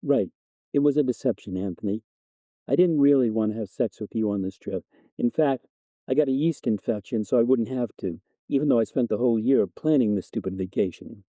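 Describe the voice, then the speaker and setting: A man's voice: mid-range voice, fairly quick, mellow, no room sound, lightly acted, low-quality recording. A man voicing a biting, exasperated fiancée in her twenties in a story reading. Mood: sarcastic, exasperated. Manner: biting, sarcastic. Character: sarcastic, exasperated twenty-five-year-old fiancée, easily wounded. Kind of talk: storybook dialogue narration.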